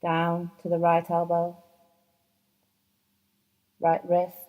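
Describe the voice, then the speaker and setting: A woman teaching yoga nidra, guiding a relaxation meditation in a soft, soothing voice, slow and unhurried with long pauses. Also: fairly low pitch, completely flat delivery, phone-quality sound. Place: yoga training course.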